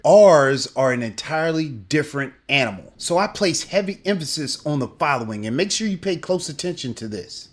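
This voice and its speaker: A middle-aged man giving a scripted video lesson as a language teacher, upbeat and emphatic in his coaching. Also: on the high side.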